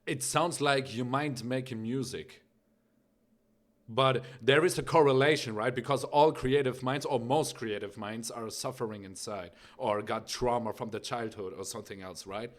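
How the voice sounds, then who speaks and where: A man's voice: mid-range voice, normal speed. German man chatting through a music reaction. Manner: rambling, many fillers, frequent "right?". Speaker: reaction video host. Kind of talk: casual music reaction commentary.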